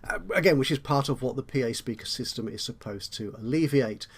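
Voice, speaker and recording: A man's voice: mid-range voice, brisk, slightly rough. Man explaining a video game tutorial. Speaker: tutorial host.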